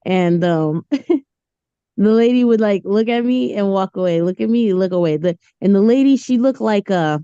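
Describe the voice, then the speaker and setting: A woman guest telling a casual anecdote, animated and mimicking the people in it. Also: medium pitch, moderate pace, smooth, dry recording, lo-fi sound.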